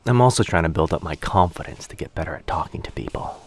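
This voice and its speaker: A man playing a shy, sweet, anxious young stranger in a boyfriend ASMR roleplay, speaking hesitantly, softly and earnestly. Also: deep voice, expressive, fast. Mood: earnest, grateful. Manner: hesitant, soft-spoken, earnest, self-doubting.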